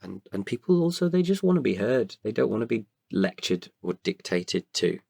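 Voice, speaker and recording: Very deep, gravelly man. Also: very animated, dark tone, dry recording, clean studio sound. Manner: hesitant, halting delivery with repeated words. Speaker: podcast guest, writer and performer. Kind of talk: candid interview answers.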